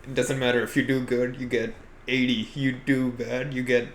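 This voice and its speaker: Casual man chatting over clear background noise. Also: mid-range voice, little pitch movement, moderate pace. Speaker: podcast co-host. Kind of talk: casual chat about films and games.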